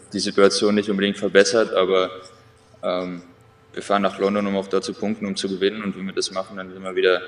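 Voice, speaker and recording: A young man's deep voice comes over a video call, flat and even in tone. He talks haltingly, with many fillers. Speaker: injured player on video call.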